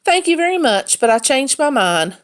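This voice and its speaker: A woman's voice: high voice, very animated, moderate pace, pitched above the performer's own voice. A woman voicing a playful, curious young female babysitter in a storybook, with a friendly, questioning manner.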